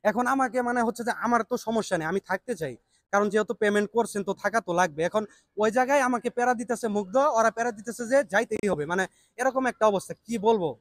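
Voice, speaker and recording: Fast-talking young Bangladeshi man, higher-pitched voice. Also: no echo, studio quality. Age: young adult. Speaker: prank vlogger. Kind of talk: casual spoken prank call and vlog intro.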